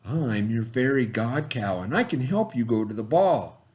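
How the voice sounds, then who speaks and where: A man's voice: medium pitch, lively intonation, moderate pace, darkish tone, lightly acted. A man voicing a helpful, bossy fairy godmother cow with folksy talk in a storybook reading. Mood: reassuring. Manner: folksy cowboy-tale diction.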